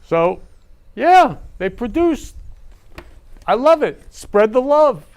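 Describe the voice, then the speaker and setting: A man's voice: very high voice, very animated, takes it slowly, a little mellow, smooth. A male host interviewing a guest, gushing and lavish with praise, often repeating his compliments. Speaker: show host. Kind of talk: effusive interview chat.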